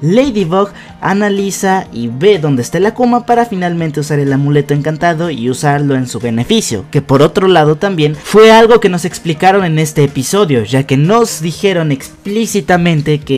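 A young man with a slightly high voice narrates an episode breakdown as an online host. He explains point by point in a conversational, emphatic way. Music plays softly behind him. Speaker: YouTube host. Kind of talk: narrated cartoon episode breakdown, countdown list. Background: background music.

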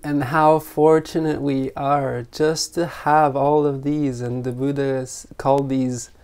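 A meditation teacher of unclear sex guiding a group in gentle, spontaneous talk, unhurried, with long pauses between short phrases. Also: mid-range voice, little pitch movement, clear, resonant tone. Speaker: meditation teacher leading an online retreat.

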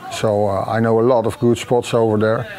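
A middle-aged man from the Netherlands with a Dutch accent, giving interview answers haltingly with many fillers and repeated words. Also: lowish voice, level tone, darkish tone. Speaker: competitor. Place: outdoor patio.

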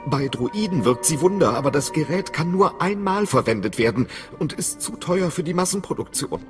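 Male actor as anxious middle-aged inventor, boastful, fairly high voice, video game dialogue, music behind. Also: animated, moderate pace, close to an everyday voice. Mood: proud, eager. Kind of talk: video game voice acting in German.

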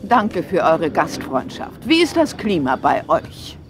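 A grave old dinosaur matriarch acted by a man leads a herd in a cartoon audio play. The weary voice is fairly high for a male one, over clear music. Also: huge pitch swings, a little slow, full character voice. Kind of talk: children's cartoon audio drama.

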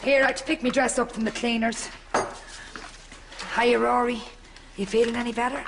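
A woman's voice: on the high side, flat delivery, moderate pace, background noise, lightly acted. A woman playing a dry, blunt grown-up daughter with an Irish accent in a natural sitcom style. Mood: dry, brisk.

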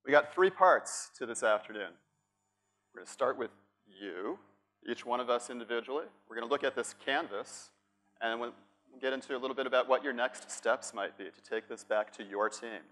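A man's voice: medium pitch, normal speed, a bit bright. A middle-aged man from Boston with a Boston accent, giving a playful, chatty workshop talk at a conference and asking the audience questions. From Boston, United States. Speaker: conference speaker. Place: large conference room on stage.

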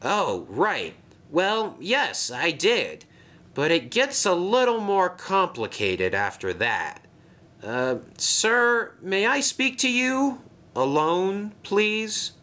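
A man's voice: sits high, very animated, slow, bright, forceful tone, voice pushed up, heavily acted. A man voicing a polite, anxious little male steam engine who speaks hesitantly and deferentially.

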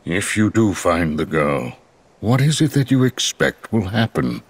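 An actor playing a remorseful, stiffly formal scientist in a video game cutscene, pleading and defending himself. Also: male voice, deep voice, big pitch swings, unhurried, slightly rough, full character voice.

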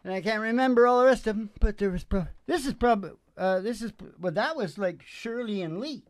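A man's voice: sits high, fairly quick. A man in his sixties chatting casually between songs at a live show, rambling and teasing, often trailing off mid-thought. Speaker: singer-guitarist hosting a live show. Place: small indoor stage with curtain. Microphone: vocal mic on a stand. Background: acoustic guitar.

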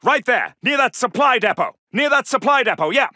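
A man's voice: very high voice, big pitch swings, brisk, sharp tone, dry recording, clean studio sound, full character voice. A man voicing an alert soldier in a video game, giving clipped, urgent military callouts. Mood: brisk, confirming. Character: alert, gruff British male Second World War soldier. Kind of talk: shouted combat callouts.

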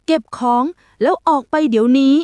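A woman voicing a stern village headman, loud, clear and commanding, in a storybook tale. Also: fairly quick, dry recording, lightly acted.

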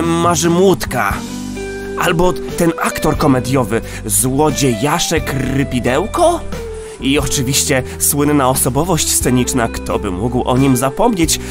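A man's voice: medium pitch, animated, slow, music in the background. A man playing a friendly, teasing little rat in a children's audio play, with playful, hesitant phrasing. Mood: searching, playful.

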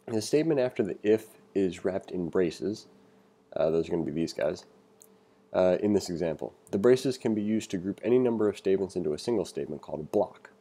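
Low, mellow-voiced man reading a tutorial. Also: expressive, fairly quick, slightly rough. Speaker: programming tutor. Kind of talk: read-along tutorial with casual asides. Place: voice-over for a screen recording.

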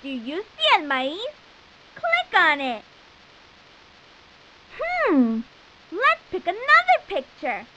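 A woman playing a cheerful, encouraging little girl cartoon explorer, enunciating clearly as she gives enthusiastic instructions in a children's educational game. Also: very high voice, huge pitch swings, slow pace, pauses often, noisy background, heavily acted. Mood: encouraging, instructive.

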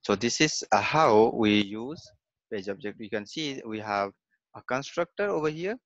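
A man giving a screen-recorded software testing lesson, halting, with many fillers and a habit of saying "okay" and "fine.". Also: mid-range voice, animated, no echo, highs cut off.

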